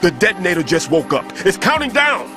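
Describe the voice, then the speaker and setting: An actor playing a methodical older male bomb-disposal expert in a video game cutscene, measured and precise. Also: high voice, big pitch swings. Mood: frantic. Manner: measured, turns to urgent shouting. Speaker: explosives expert on the radio. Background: game score music.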